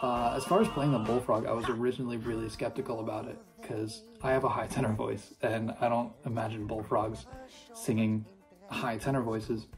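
Young man with a flat, even voice. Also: mid-range voice, a little fast, low-quality recording. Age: young adult. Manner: enthusiastic, chatty with fillers. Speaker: high school senior cast member. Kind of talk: casual video testimonial. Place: small room at home. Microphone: phone or webcam close up. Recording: music playing underneath.

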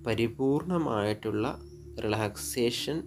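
A man with a Malayali accent guiding sleep hypnosis meditation, soothing and unhurried, pausing between short phrases over relaxing music. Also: mid-range voice, a little hiss or hum. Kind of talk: guided sleep hypnosis narration. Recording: relaxing music with singing bowls behind.

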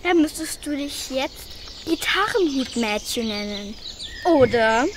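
A woman's voice: high voice, expressive, unhurried, slight background noise. A woman playing Doctor Flint, a pompous know-it-all professor in a children's radio play, lecturing self-importantly.

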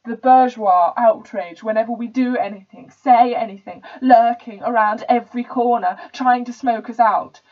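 Woman acting high-pitched posh, sneering young man. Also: steady tone, normal speed, hardly any pauses, distant mic, lightly acted. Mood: bitter outrage. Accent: posh English, put on. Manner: sneering, indignant, sweary ranting. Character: arrogant posh young male club member, sneering and enraged. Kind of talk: dramatic stage monologue reading. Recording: dull, lo-fi home recording.

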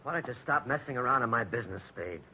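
An actor playing a bitter, desperate young American jailed tough guy, husky and slangy, in 1940s radio style. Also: medium pitch, expressive, quick pace. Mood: hostile. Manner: rough, slangy, emotional. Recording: dull old radio recording.

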